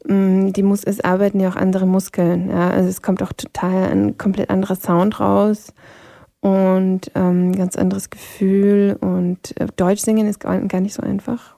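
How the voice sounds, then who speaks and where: A Vienna-born woman whose first language is Polish, a singer answering interview questions thoughtfully and pausing to search for words. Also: medium pitch, level tone, vocal fry, warm tone, no room sound, clean studio sound. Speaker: singer guest. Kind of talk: interview conversation.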